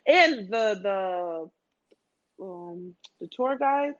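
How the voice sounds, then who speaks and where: A woman's voice: medium pitch, animated, extremely slow. A woman in a casual, conversational travel chat with a friend, often repeating phrases for emphasis. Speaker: podcast speaker. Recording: dull lo-fi sound.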